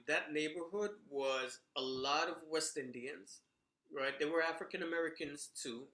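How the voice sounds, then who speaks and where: A man's voice: a little high, sharp tone, roomy sound. A middle-aged man from the Bronx, telling memories in a casual monologue full of "you know" and "right?". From New York, Bronx. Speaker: commentator sharing memories.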